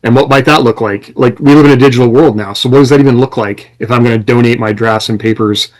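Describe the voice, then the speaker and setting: A man's voice: mid-range voice, steady tone, fast talker, poor audio. An American man talking casually as a podcast host, explaining things conversationally with examples and quoted lines.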